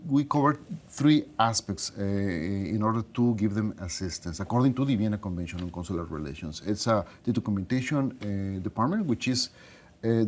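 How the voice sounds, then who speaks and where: Halting low-voiced man from Mexico. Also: darkish tone. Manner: halting, with many fillers and restarts. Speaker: studio guest, consular official. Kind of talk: interview answers.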